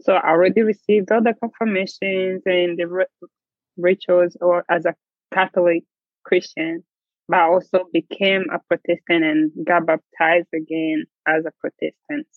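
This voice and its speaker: A woman's voice: lowish voice, fairly even intonation, moderate pace, dry recording. Matter-of-fact woman on a tinny line. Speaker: guest. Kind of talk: casual chat. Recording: tinny phone-like sound.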